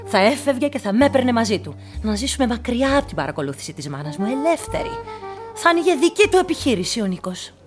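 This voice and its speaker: An actress in a radio play playing a troubled, obstinate daughter, insistent and emphatic. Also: female voice, a little high, big pitch swings, music in the background. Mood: defiant. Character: obstinate, troubled daughter accusing her mother. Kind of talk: radio drama acting.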